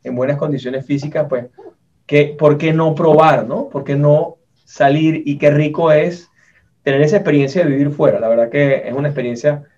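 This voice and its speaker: Mellow Venezuelan man, slightly distant and muffled. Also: on the high side, steady tone, normal speed. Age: 40s. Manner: colloquial, frequent tag questions. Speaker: interviewer, entrepreneur. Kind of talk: casual conversational storytelling.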